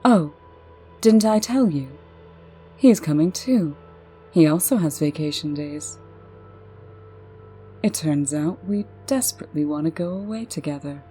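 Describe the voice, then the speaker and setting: A woman playing a brisk, proper, no-nonsense administrator in a comic dub, husky and businesslike. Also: deep voice, lively intonation, warm tone. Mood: airy, composed. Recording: music behind the voice.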